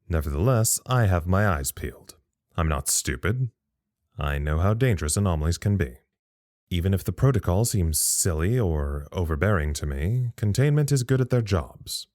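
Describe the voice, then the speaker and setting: Low-voiced, reflective man, close and dry. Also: darkish tone, no room sound. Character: thoughtful, uneasy male log-keeper at a secret research site. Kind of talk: audio drama monologue as a recorded incident log.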